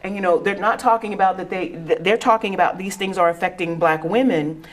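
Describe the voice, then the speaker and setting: Middle-aged woman, kinda low voice, earnest panel talk, lots of restarts and little laughs. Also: fairly even intonation, fairly quick. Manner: earnest, repeats and restarts words, laughs often. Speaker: panel speaker, educator. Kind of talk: conversational panel discussion.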